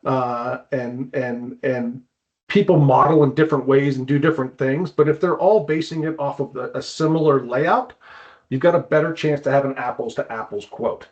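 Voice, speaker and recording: A man speaks in a casual, explanatory way, like a consultant sharing practical advice as a guest. His voice sits at a middling pitch, and the audio is slightly dull and lo-fi. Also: normal speed, a little mellow. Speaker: climbing wall consultant, guest.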